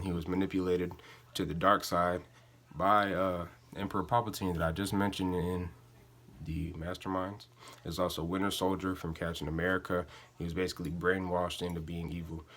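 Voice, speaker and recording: A man's voice: low-pitched, fairly bright tone. A young man giving an informative student speech in an informal, hesitant way. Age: young adult. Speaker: student speaker.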